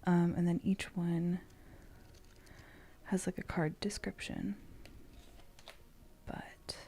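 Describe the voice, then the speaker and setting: A woman chatting casually in an ASMR style, with a soft, breathy, often whispered voice and a halting, meandering manner. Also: lowish voice, level tone. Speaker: streamer host.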